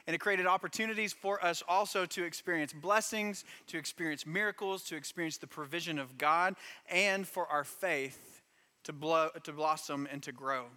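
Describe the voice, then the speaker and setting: Bright-voiced pastor preaching in a hall. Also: male voice, fairly high pitch, moderate pace. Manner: earnest, emphatic preaching with repeated phrases. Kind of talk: sermon. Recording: long hall reverb.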